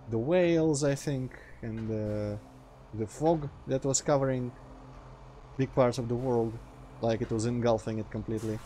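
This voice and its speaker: A man's voice: mid-range voice, mellow, a little hiss or hum. A man from Russia, a native Russian speaker with a mild Russian accent, giving casual, dry commentary as he plays a video game. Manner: dry, sarcastic asides. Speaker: gameplay commentator. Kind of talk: casual let's play commentary.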